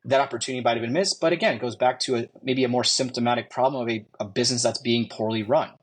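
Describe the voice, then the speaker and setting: A man's voice: mid-range voice, steady tone, rapid-fire, breathy edge. A man in his fifties, a guest, talking casually and thoughtfully, often restarting phrases as he walks through examples. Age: 50s. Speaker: guest, medical spa marketing. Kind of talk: casual conversation.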